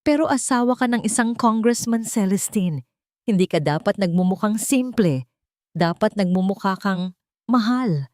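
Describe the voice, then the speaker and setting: A woman's voice: mid-range voice, animated, moderate pace, darkish tone, no room sound, studio quality, voice pushed up, close to an everyday voice. A woman voicing a cold, controlling politician husband in an audio storybook, with a stern, commanding manner.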